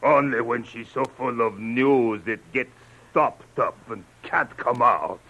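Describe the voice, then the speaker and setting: An actor playing a threatening renegade chief in a radio adventure serial, speaking clipped broken English. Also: male voice, mid-range voice, takes it slowly, pushed and bright. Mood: slow menace. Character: menacing renegade chief, male villain with knife. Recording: dull lo-fi old radio recording.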